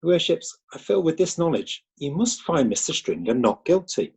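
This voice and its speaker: An actor playing a defence lawyer pleading earnestly before the magistrates. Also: male voice, a little high, sing-song, moderate pace, gravelly, a little mellow, slightly rough, exaggerated voice. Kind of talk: amateur audio drama acting.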